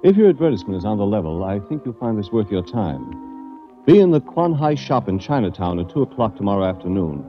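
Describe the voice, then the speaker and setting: An actor voicing a mysterious anonymous letter writer in an old radio drama, giving secretive instructions. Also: male voice, fairly low pitch. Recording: lo-fi 1940s radio with music.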